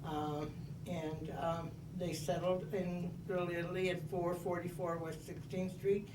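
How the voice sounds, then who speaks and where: A woman in her eighties telling her life story in an oral history interview, measured and reminiscing with frequent pauses. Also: on the low side, level tone, echoey room, recorded from a distance, noisy background. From United States. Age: 80s.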